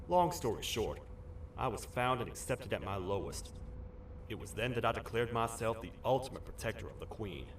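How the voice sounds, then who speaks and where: Man acting a gruff low-voiced toad sheriff. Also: sing-song, moderate pace, a little hiss or hum. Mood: grave, steady. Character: gruff, loyal toad sheriff, protector of the Queen. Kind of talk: video game character acting. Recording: distant, loud music behind.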